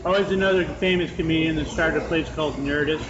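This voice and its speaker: A man's voice: fairly high pitch, steady tone, fast. A man with a nasal voice hosting a podcast, rambling in casual chat with many restarts. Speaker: podcast host. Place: restaurant with a fan. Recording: muffled sound with clear background noise.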